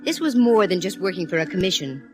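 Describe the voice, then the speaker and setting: Woman playing a sassy 1940s radio private eye and narrator, brisk, lower pitch, faint music under. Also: expressive, natural voice.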